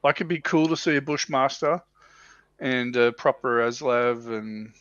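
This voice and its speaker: Bright-voiced man, dry and slightly muffled. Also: mid-range voice, unhurried, no room sound. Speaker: livestream co-host on tabletop wargaming show. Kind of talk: casual panel chat.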